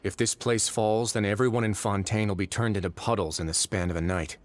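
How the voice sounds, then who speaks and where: Low-voiced, composed man acted in a video game. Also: natural voice. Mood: grave, foreboding. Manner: measured, articulate, calm, dry storyteller. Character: composed, world-weary man in charge of an undersea prison fortress. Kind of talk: English video game voice acting, expository dialogue. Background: game music behind the voice.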